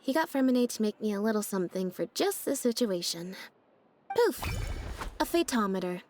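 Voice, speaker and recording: A woman voicing a laid-back, deadpan young stage magician's assistant in a video game, speaking in an unhurried, matter-of-fact way. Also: mid-range voice, a little hiss or hum, natural voice. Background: game background music.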